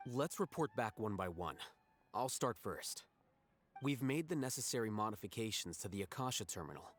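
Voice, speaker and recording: A man playing a calm, unsentimental strategist in a video game, matter-of-fact and deadpan. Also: on the low side, normal speed. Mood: businesslike. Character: calm, dry, unsentimental young male strategist. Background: game music.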